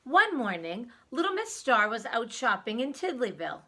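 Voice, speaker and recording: A woman reading a story aloud to young children, expressive, with dramatic emphasis and questions to the listener. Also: a little high, moderate pace.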